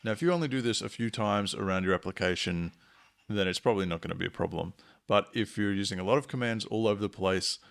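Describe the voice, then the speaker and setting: A man narrating a software tutorial in a measured, instructional way. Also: on the low side, gravelly. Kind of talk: explanatory screencast tutorial narration.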